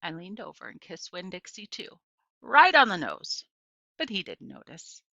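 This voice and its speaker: A middle-aged woman reads a children's story with very lively intonation and a bright, forward tone. Her phrasing is deliberate and emphatic. It is a dry, slightly dull home recording. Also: fairly low pitch, gravelly, dry recording. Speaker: reader of a children's novel. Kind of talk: expressive read-aloud storytelling. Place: small indoor room with bookshelves.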